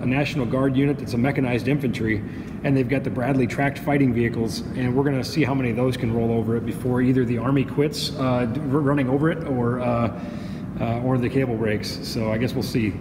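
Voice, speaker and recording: A man's voice: mid-range voice, steady tone, brisk. A man chatting casually through a product demo as a dealer, rambling into joking asides. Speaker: pro audio dealer and demo guest. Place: indoor demo room at a trade expo. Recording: echoey, noisy room with long reverb.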